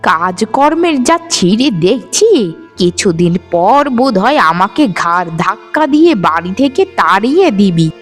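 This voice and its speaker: A woman's voice: mid-range voice, big pitch swings, normal speed, soft background music. A woman voicing a scolding, suspicious older mother-in-law in a melodramatic cartoon. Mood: bitter, accusing. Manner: accusing, nagging.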